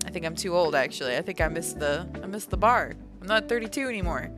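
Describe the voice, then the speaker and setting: A woman in her thirties with a low voice talks casually on a live stream and drifts from one thought to the next with amused laughs. Music plays clearly beneath her. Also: animated, fast talker. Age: 30s. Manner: rambling, self-amused, laughs often.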